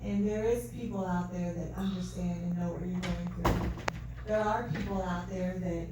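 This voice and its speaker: Soft-spoken woman in an echoey hall. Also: lowish voice, fairly even intonation, moderate pace. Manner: soft-spoken, earnest, faith-filled. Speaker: event speaker. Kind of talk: testimony talk. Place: event hall. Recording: distant mic, echoey hall, faint noise.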